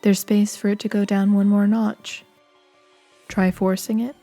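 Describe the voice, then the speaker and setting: A woman narrating a horror adventure game in a calm, scripted second-person style. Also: mid-range voice, a little slow, natural voice. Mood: calm, measured. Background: faint music.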